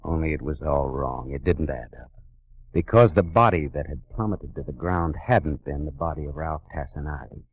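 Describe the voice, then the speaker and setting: An actor playing a hard-boiled private detective who narrates in terse, dry first-person lines in a 1940s radio drama. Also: male voice, a bass voice, moderate pace, slightly rough, exaggerated voice. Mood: tense. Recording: dull vintage radio recording with music.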